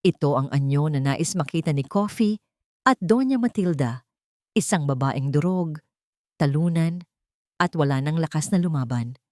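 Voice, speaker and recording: Lowish-voiced woman narrating a drama. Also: expressive, moderate pace, long pauses, darkish tone, dry recording, studio quality.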